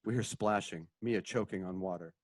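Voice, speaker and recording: A man's voice: low voice, moderate pace, no room sound, studio quality. A man narrating a radio drama, reading out stage directions and sound cues.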